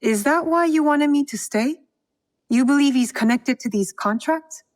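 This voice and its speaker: Woman acting an earnest, higher-voiced young student. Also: moderate pace, dry recording, studio quality, natural voice. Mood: curious, probing. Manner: plain-spoken, polite. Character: earnest, reserved, blunt young female student of tea. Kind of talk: video game cutscene acting.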